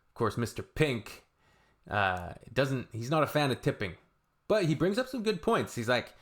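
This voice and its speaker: Male reaction host, laid-back and rambling, average pitch, unscripted commentary. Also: very animated, normal speed, a bit bright. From Canada. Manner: rambling, offhand, shrugging asides.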